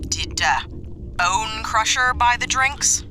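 A woman's voice: a little high, lively intonation, takes it slowly, over music. An actress playing an exasperated, no-nonsense woman captain with a sarcastic, dry way of talking in a sci-fi audio drama.

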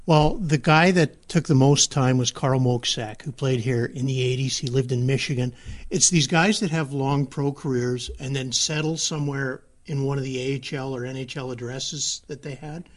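A male newspaper sports reporter, a guest on a podcast. He tells his stories in a measured, even way. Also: mid-range voice. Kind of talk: casual podcast interview.

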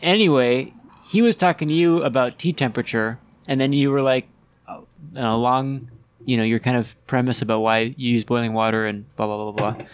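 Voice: mid-range voice, brisk, clean tone, no room sound. Chill co-host finishing the other's sentences, mid voice, dry close sound. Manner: relaxed, fills in details and finishes partner's sentences. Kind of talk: casual chat.

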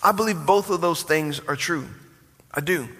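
Bright, high-voiced preaching pastor. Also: male voice, moderate pace. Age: 40s. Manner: preaching with rising emphasis and call-and-response. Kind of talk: sermon. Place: church sanctuary. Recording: long hall reverb.

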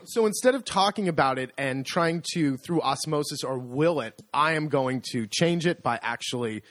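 An American man co-hosting a casual podcast chat, self-deprecating and animated, often restarting his sentences. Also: a little high, moderate pace, dry recording. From United States. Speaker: podcast co-host.

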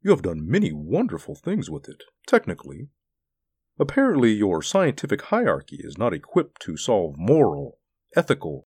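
A man voicing a huge, gentle alien beast in a story, speaking in an articulate, formal, pensive way. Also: fairly low pitch, wildly swooping pitch, normal speed, slightly rough, no echo, heavily acted. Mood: earnest, pleading. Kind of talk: storybook character acting.

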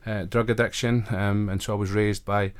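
A Scottish man from Glasgow with a strong Glaswegian accent and a fairly low voice talks about growing up. He is earnest and open, with flashes of self-deprecating humor. He was recorded in a radio studio. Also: flat delivery, moderate pace, clear, resonant tone. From Scotland. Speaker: guest, college chaplain. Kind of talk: conversational interview storytelling.

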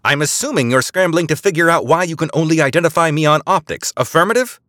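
A man narrator puts on a woman spy who bluffs over the comm with imperious authority. Her voice rides high and loud, swinging widely as she barks orders. The sound is dry and close. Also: sing-song, normal speed, no room sound. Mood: commanding.

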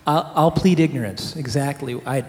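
A man's voice: mid-range voice, moderate pace, in a large hall. A man co-hosting a casual interview, chatty and self-deprecating as he asks his questions. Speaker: radio co-host.

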